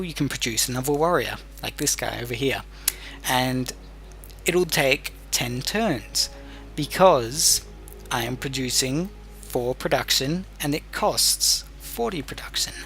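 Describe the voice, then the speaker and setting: A man's voice: medium pitch, vocal fry, pushed and bright. A man giving explanatory video game commentary as a tutorial host, patiently going step by step with some hesitation. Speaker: gamer hosting a let's play tutorial.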